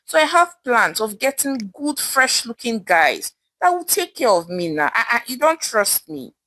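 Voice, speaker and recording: A woman's voice: on the high side, very animated, unhurried, bright, forceful tone, no room sound, exaggerated voice. A woman voicing a proud, scornful young gold digger in a folktale, boastful and dismissive, with a Nigerian accent. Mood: haughty.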